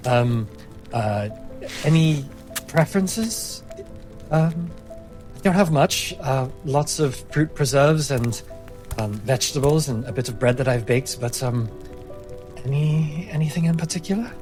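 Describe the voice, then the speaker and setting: A man voicing a gentle, reluctant young king in a fantasy roleplay, speaking politely and haltingly, eager to please. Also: medium pitch, music in the background, pitched above the performer's own voice, lightly acted. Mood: nervous, eager.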